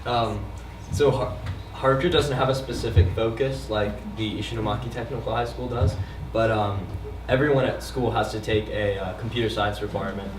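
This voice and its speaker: A teenage high school student answering questions on a panel, hesitant and full of fillers. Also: male voice, steady tone, moderate pace, hardly any pauses. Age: late teens. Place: community event hall. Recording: echoey hall, distant mic, background noise.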